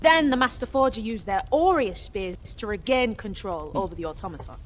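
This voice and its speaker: A woman's voice: medium pitch, lively intonation, fairly quick, a little hiss or hum, close to an everyday voice. A woman playing an earnest, bookish and resourceful lady scholar in a fantasy video game, explaining things precisely. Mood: earnest, informative. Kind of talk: video game character acting.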